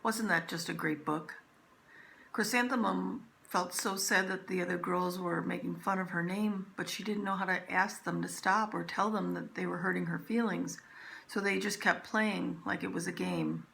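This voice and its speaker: A woman's voice: lowish voice, fairly even intonation, moderate pace, creaky, a bit bright, echoey room, slight background noise, low-quality recording. A woman reading a picture book aloud expressively, as a teacher does for young listeners. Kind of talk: expressive children's storybook narration.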